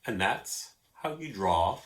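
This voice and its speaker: A man's voice: lowish voice, animated, takes it slowly, background noise. A man hosting a children's drawing lesson, casually talking through each step in an informal, playful way with simple explanations. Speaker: host of a children's drawing segment.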